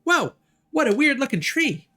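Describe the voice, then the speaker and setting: A man voicing a small, excitable, caring fairy girl from a video game. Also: extremely high pitch, moderate pace, faint music behind, pitched above the performer's own voice, full character voice. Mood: amazed. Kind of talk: reading game dialogue in character.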